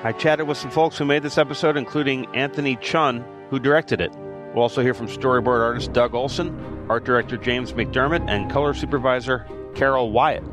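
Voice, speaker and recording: A man hosts a podcast, opening the show over clear music before moving into short questions for his guest. His voice sits at an ordinary middle pitch. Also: brisk. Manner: brief interjections and questions. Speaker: podcast host. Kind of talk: podcast interview.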